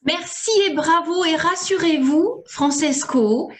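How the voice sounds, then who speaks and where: A woman's voice: extremely high pitch, takes it slowly. A woman moderating an online meeting on a video call, welcoming participants with polite encouragement. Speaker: meeting host.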